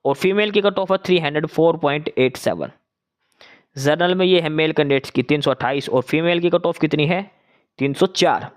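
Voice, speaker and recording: Guy going over exam cutoffs, kinda high voice, repeats himself a lot, restarts mid-phrase. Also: moderate pace. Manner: repetitive, restarts often, mixes English numbers into Hindi. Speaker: exam results channel host. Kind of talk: casual explainer reading out results.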